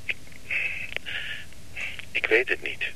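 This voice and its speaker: An actor playing a troubled, evasive man who haltingly and wearily pleads for time in a radio drama. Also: sharp tone. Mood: weary, pleading. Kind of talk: Dutch radio drama acting. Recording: noisy radio play recording, telephone scene.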